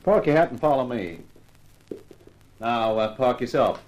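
An actor playing a cocky, wisecracking hard-boiled private eye with a breezy, wry delivery in a 1950s radio drama. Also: male voice, medium pitch, animated, a little slow, a little mellow, muffled recording, lightly acted. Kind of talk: 1950s radio detective acting with narration.

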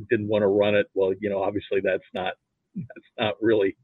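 A man from Nebraska with an American accent, chatting casually as a sports fan guest in a halting, rambling, folksy way. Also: lowish voice, breathy edge, no echo. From Nebraska, United States. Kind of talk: casual chat. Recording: thin phone-like narrow-band line.

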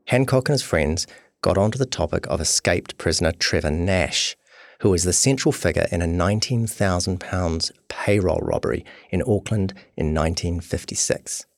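A man's voice: low-pitched, no echo. A man reading a scripted true crime long-read as narrator. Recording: music behind voice. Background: guitar music.

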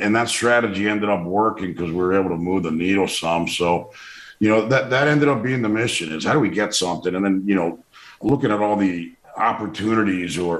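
A man with a loud, forceful way of talking, speaking in a casual interview with frequent restarts and fillers. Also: lowish voice, echoey room. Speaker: political commentator.

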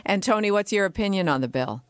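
A woman's voice: medium pitch, expressive, quick pace, a little hiss or hum. A woman with a Canadian accent presenting a radio phone-in as its host. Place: radio studio.

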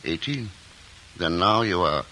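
An earnest thoughtful explorer. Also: male voice, low voice, expressive, bright, forceful tone, heavily acted, low-quality recording. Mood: tender. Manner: measured, earnest. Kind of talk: 1940s radio acting. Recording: old radio broadcast with score.

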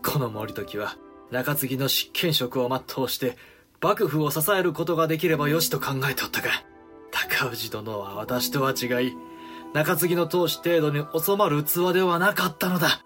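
An actor playing a shrewd, commanding samurai regent in a Japanese period audio drama, with authoritative, weighty archaic speech. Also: male voice, a little high, normal speed, a bit bright, soft background music, close to an everyday voice. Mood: rueful musing.

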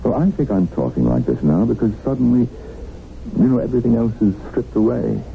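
An actor playing a cynical, world-weary middle-aged tycoon held captive, wry and taunting, in a 1970s radio drama. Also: male voice, mid-range voice, lively intonation, brisk, gravelly. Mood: resigned, reflective. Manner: wry, musing, sardonic. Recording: lo-fi radio recording, tinny, faint noise.

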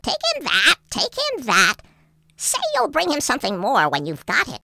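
A man voicing a brisk, bossy mouse friend in a storybook, giving advice in an insistent, knowing manner. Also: very high-pitched, wildly swooping pitch, vocal fry, background noise, pitched above the performer's own voice, exaggerated voice. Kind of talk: storybook character voice.

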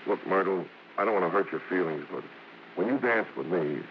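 An actor playing a brash but bashful American song-and-dance man in 1950s radio drama, blustering and halting, full of slang. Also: low-pitched, background noise. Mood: awkward, sheepish. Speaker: actor and singer. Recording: muffled old radio broadcast with music.

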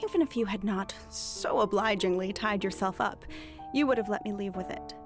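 A woman's voice: medium pitch, normal speed, music in the background, natural voice. An actress playing a cunning, bookish thief posing as a witch in an audio drama, articulate, mock-courteous and teasingly self-assured. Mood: assured, pressing. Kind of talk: audio drama acting, period dialogue.

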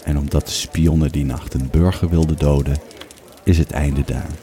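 A man gives a composed storyteller's narration for an audio drama set in ancient Rome. The voice is extremely deep and dark in tone, delivering scripted lines in a measured, storybook way. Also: steady tone. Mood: measured narrating. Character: composed third-person storyteller of a Roman tale.

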